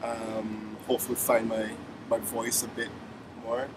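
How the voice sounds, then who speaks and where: A young man with a Barbadian accent, a musician guest in a casual interview, talking in a relaxed, rambling way full of restarts. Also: mid-range voice, a little slow. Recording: distant mic with background noise.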